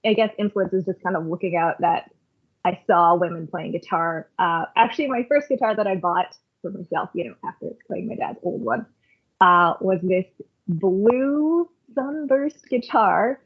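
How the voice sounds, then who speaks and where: A woman's voice: mid-range voice, unhurried, husky. Woman guest, chatty and reflective, lots of ums, sounds like a phone line. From Canada. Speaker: podcast guest, worship leader. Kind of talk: casual conversational storytelling.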